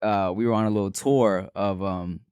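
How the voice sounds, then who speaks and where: A man co-hosting a podcast, telling stories in a casual, animated way and swearing freely. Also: fairly low pitch, moderate pace, clean tone, no room sound, clean studio sound. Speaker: podcast co-host. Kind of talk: casual chat.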